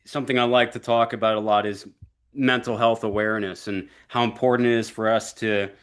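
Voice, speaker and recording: A man hosting an interview, asking questions in an earnest, measured way with a few fillers. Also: lowish voice, flat delivery, a bit bright, clear, resonant tone. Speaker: podcast host.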